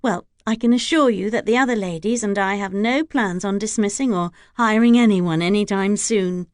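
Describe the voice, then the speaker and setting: A well-bred young lady speaks with careful courtesy and gentle reassurance, as a woman narrator puts her on. The recording is dry and close. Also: mid-range voice, moderate pace, no room sound, natural voice. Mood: polite. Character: young genteel lady, polite and tactful.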